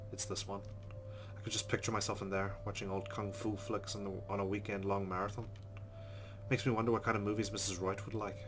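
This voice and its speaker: A man hosting a let's play, reading a visual novel's narration aloud steadily and adding dry asides. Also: lowish voice.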